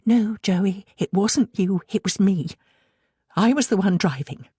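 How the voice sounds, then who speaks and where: A woman's voice: mid-range voice, a little slow, dark tone, breathy edge, dry recording, clean studio sound. A woman reading a protective, earnest mother in a storybook, with a British English accent. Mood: pleading.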